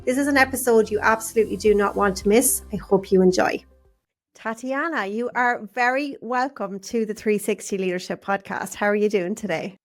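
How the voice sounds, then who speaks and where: A woman's voice: medium pitch, fairly quick, faint music behind. Host, female, effusive and upbeat, interviewing a guest on a studio mic. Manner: bubbly, enthusiastic, laughs often. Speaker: podcast host. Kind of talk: conversational podcast interview. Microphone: studio microphone.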